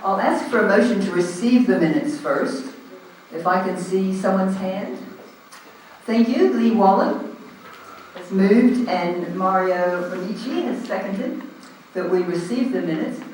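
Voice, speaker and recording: A man with an Australian accent chairing a community group's meeting, speaking loudly and casually with many ums into a handheld microphone. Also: high-pitched, a little slow, a little hiss or hum. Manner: loud, informal, hesitant with frequent ums. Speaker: meeting chair standing in for the president. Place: large echoey hall. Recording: echoey hall, recorded from a distance.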